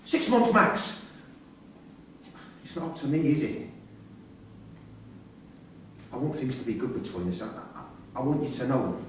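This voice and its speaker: An actor in a staged reading playing an imprisoned father, pleading and insistent, quick to swear and anger. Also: medium pitch. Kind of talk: live staged play reading. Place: theatre-pub performance space, recorded from a distance. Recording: roomy far-off stage recording, faint noise.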